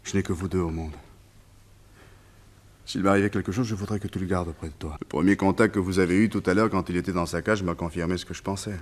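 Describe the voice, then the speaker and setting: A man's voice: low voice, fairly even intonation, fast talker. An actor playing an anxious, haunted man in a French radio play, earnest, brooding and confiding. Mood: tender, solemn. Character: anxious, haunted man, devoted to his pet tiger.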